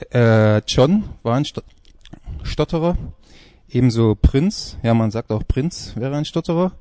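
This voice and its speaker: A man presents on a music radio show in an informal, halting way, often searching for words. His voice is mellow and dark at an average pitch. The sound is dry, with no room around it. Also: no room sound.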